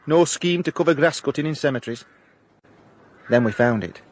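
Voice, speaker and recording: An actor playing a hapless, earnest South Yorkshire ex-miner turned private detective in a comic radio drama, blunt and dry. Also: male voice, fairly high pitch, lively intonation, brisk. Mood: wry, reflective. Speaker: private investigator.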